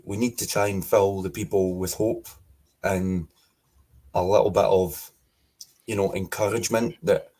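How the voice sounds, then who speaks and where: A Scottish man speaking forcefully as a guest in a political interview, repeating his points with emphasis. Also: on the low side, breathy edge. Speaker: podcast guest.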